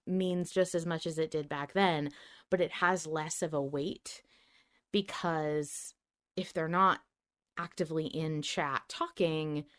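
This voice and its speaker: Woman podcast host, smooth lowish voice, chatty, self-interrupting, casual live discussion. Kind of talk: casual live discussion reading listener comments.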